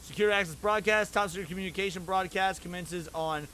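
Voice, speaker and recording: A young American man giving casual livestream commentary, with slangy, profane, excitable talk. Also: high voice, a little fast, a little hiss or hum, low-quality recording. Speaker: livestream host reacting to a video.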